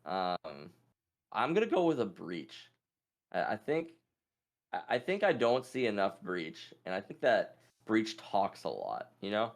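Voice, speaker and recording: A man's voice: fairly low pitch, huge pitch swings, unhurried, vocal fry. A man taking part in a quiz, thinking aloud in casual, informal chat as he weighs his answers. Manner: deliberating, informal. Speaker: quiz contestant.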